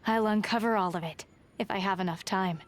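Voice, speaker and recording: Casting: actress as resolute young huntress for a video game, plain-spoken and self-contained, mid-range voice. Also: female voice, mid-range voice, fairly quick, lightly acted. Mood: determined. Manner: plain-spoken, understated, determined. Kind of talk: video game character voice lines.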